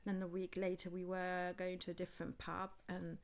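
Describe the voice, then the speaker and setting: A woman in her forties with a light German accent, worn down by years of living in England. She chats easily, telling long stories with many ums and restarts and laughing often. It is a relaxed podcast conversation with her daughter. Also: fairly low pitch, fairly quick. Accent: German, softened by years in England. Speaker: podcast co-host, mother. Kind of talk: casual family chat.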